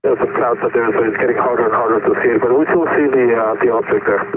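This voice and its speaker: A man's voice: medium pitch, fast talker. A man, an airline pilot, making hesitant, casual, matter-of-fact reports to air traffic control over cockpit radio. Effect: cockpit radio transmission. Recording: tinny narrow radio link, heavy static.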